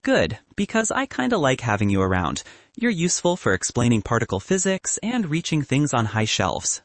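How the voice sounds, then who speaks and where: A man's voice: medium pitch, huge pitch swings, normal speed, dry recording. A man voicing a playful, quirky young woman physicist who cracks jokes. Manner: playful, joking.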